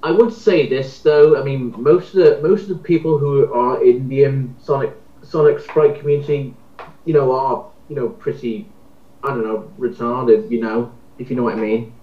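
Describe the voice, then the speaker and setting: A man's voice: medium pitch, fairly even intonation, normal speed. A man in a casual, opinionated group chat, speaking hesitantly and repeating his words. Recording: echoey, muffled amateur voice recording.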